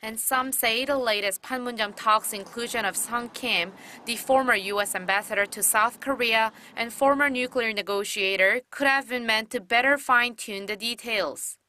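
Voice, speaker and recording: Female TV reporter for a voice-over package, measured, formal, sits a bit high. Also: sharp tone, slight background noise. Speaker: news reporter. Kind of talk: scripted news voice-over.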